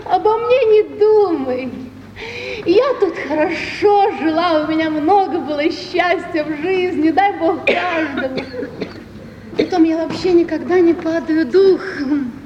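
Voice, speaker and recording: A very high, slow female voice rings in a reverberant hall on a dull, noisy recording. a tender, naive woman in love, bravely chattering in a stage drama. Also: a little mellow. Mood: reassuring. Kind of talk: theatrical stage acting.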